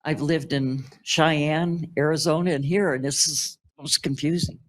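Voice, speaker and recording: Loud, flustered older woman from the floor. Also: low-pitched, steady tone, a little slow. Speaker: resident asking a question. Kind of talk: question from the floor at a public meeting. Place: county meeting chamber.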